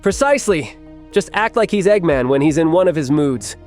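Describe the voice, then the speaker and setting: A man's voice: a little high, animated, brisk, a little mellow, faint music behind, natural voice. A man voicing a sly robot henchman in a comic dub, wry and obsequious. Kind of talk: comic fan dub voice acting.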